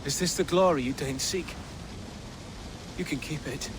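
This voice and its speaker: A man playing a shaken young warrior in a video game drama. His voice is high and slow, full of anguish and disillusion, with clear rainy ambience behind. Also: background noise, lightly acted. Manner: anguished, emotional.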